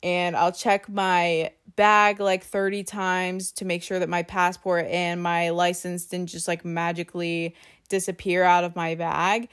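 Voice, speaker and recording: A woman in her twenties talks through her own podcast episode, relaxed and conversational. Her voice sits a little low for a woman. She peppers her sentences with "like" and pokes fun at herself. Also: unhurried, clean tone. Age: 20s. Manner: chatty, uses "like" often, self-deprecating. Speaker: podcast host. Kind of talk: casual solo podcast chat.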